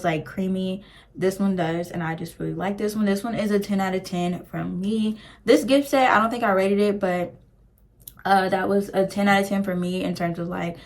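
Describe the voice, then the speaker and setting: A young woman, chatting casually and gushing over products in a beauty review, full of "like" fillers. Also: mid-range voice. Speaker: beauty vlogger.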